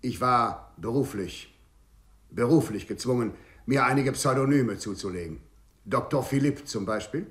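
An actor playing an effusive, ceremonious swindler in a 1950s German radio play. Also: male voice, mid-range voice, normal speed, fairly bright tone, natural voice. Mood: flattering. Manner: effusive, ceremonious, repeats words. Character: courtly, flattering male swindler with many aliases.

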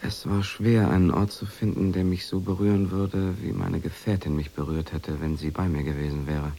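An actor in a radio drama playing a cultured, lonely husband, narrating in a measured, reflective way. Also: male voice, low-pitched, level tone, gravelly, dark tone, slight background noise. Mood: wistful, reflective. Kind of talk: 1980s German radio drama monologue. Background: music.

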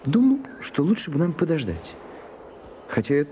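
An actor playing a shy, prim gentleman in a radio play, confiding haltingly and easily flustered. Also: fairly high pitch, huge pitch swings. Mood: wistful, nostalgic. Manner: hesitant, halting, bashful. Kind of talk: Russian radio play acting. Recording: muffled Soviet-era radio recording, some hiss.

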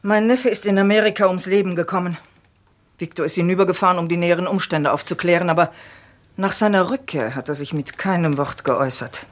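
Actress as stern, probing middle-aged aunt. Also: female voice, fairly low pitch, brisk, natural voice. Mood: probing, insistent. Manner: probing, composed, pointed questioning. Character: stern, inquisitive aunt of a crime-drama household, probing and composed. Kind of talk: German radio crime play acting.

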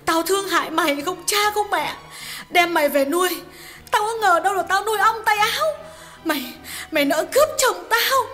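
A man voicing an enraged, betrayed young wife who scolds shrilly and cries as she shouts. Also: very high voice, expressive, normal speed, faint music behind, voice pushed up, exaggerated voice. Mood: furious. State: crying.

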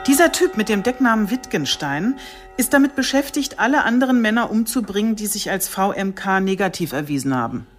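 An actress playing a businesslike police chief inspector in a radio drama, sober and matter-of-fact. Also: female voice, mid-range voice, expressive, fairly quick, faint music behind, close to an everyday voice. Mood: focused.

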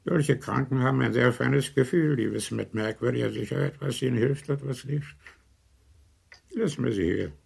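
A man acting a calm, reassuring older family doctor in a radio play, measured and matter-of-fact. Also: medium pitch, gravelly, breathy edge. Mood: thoughtful, accepting.

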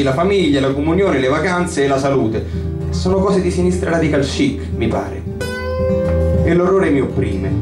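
A man reading a first-person literary story in an expressive, dramatic, bitter and brooding way. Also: medium pitch. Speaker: reader of a short story. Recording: roomy live reading, distant mic, guitar behind. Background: acoustic guitar accompaniment.

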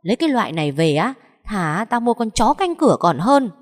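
A woman's voice: medium pitch, big pitch swings, fairly quick, long reverb tail, voice pushed up. A woman voicing a spiteful older mother-in-law in a storybook, screeching and scolding. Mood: shrill scolding.

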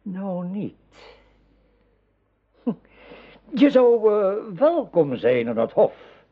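An actor playing a weary, wise old wizard mentor in a radio drama, speaking with measured, solemn counsel. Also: male voice, sits high, sing-song, exaggerated voice. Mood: weary, wistful. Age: older. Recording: thin, phone-like old radio play recording.

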